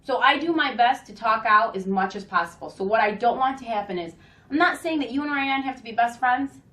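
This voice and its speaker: A woman's voice: a little high, a little fast, bright, forceful tone. Roomy, distant acted patient woman counselor. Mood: coaxing, firm. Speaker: school staff member counseling a student. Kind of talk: amateur acted role-play dramatization. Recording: roomy echo, distant microphone.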